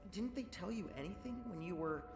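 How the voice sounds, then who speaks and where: A man's voice: sits high, expressive, a little fast. An actor voicing a nervous young male engineer who stammers and gets flustered. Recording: roomy game audio with music.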